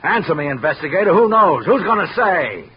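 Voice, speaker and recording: A man's voice: sits high, big pitch swings, brisk. An actor playing a sullen, defensive night watchman in an old radio drama, curt and sarcastic. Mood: bitter. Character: surly, bitter, defensive male night watchman. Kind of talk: 1950s radio drama acting. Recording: muffled old radio recording, faint noise.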